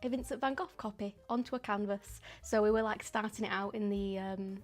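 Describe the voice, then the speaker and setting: A woman's voice: medium pitch, fairly quick, faint music behind. A woman, chatting casually and a bit ramblingly while she paints on a live stream. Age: 20s. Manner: chatty and rambling, with frequent ums and soft laughs.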